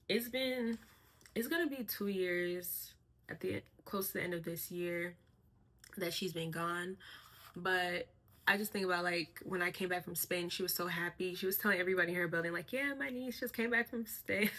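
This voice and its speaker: A young woman sharing her life in a casual vlog, speaking softly and reflectively with a fairly even, monotone delivery. Her voice sits a little low in pitch but has a bright, clear edge. She pauses on fillers now and then as she thinks. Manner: soft-spoken, reflective, with frequent fillers and light laughs. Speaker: vlogger.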